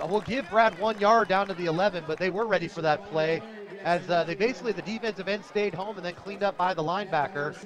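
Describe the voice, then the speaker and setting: An American man calling live football play-by-play on the radio with energetic, clipped calls. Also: sits high, fast, dull audio. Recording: live outdoor broadcast with crowd noise. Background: stadium crowd.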